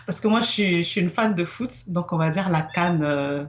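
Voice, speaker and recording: A woman's voice: fairly low pitch, moderate pace. A young woman from France, guest on an interview podcast, answering candidly with many fillers. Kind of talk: casual interview.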